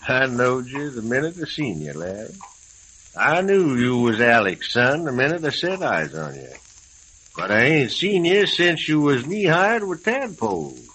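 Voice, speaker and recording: An American actor playing a good-humored old prospector in a radio western, drawling in rustic cowboy dialect. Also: male voice, mid-range voice, very animated, moderate pace, fairly bright tone. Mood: genial. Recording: hissy, crackly old radio recording.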